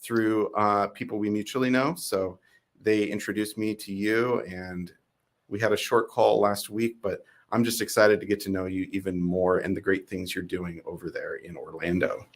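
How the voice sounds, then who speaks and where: Man, deeper voice, host, eager interviewer on a call. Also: fairly even intonation, normal speed.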